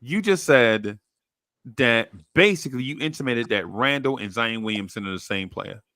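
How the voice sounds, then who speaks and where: A man's voice: mid-range voice, lively intonation, moderate pace, bright, forceful tone, no room sound, clean studio sound. A man hosting a podcast, pushing back hard with slangy talk and laughter in a casual sports debate over a call. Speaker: podcast host.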